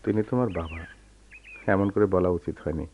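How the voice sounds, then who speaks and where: Sad brooding lovelorn man in an old radio play, poetic, lowish voice, muffled tape. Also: fairly quick. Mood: gentle. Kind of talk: Bengali radio drama acting. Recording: dull lo-fi radio recording with noise.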